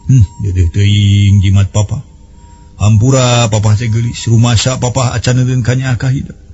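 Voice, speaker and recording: A man playing a smitten, flustered young man with a halting manner in Sundanese storytelling, on an old cassette recording. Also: medium pitch, steady tone, warm tone, faint music behind, lightly acted. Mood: tender. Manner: stammering, halting.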